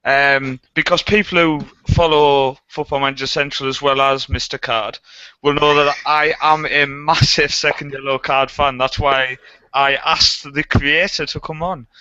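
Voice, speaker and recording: A man hosting a casual podcast chat, jokey and chatty and quick to laugh, heard over a dull lo-fi online call. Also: medium pitch, fairly even intonation, pushed and bright, smooth, dry recording. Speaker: podcast host.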